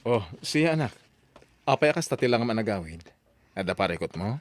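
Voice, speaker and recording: A man playing a calm fatherly friend. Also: fairly low pitch, fairly quick, slight background noise. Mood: concerned. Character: steady older family man, friend and father. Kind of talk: audio drama acting.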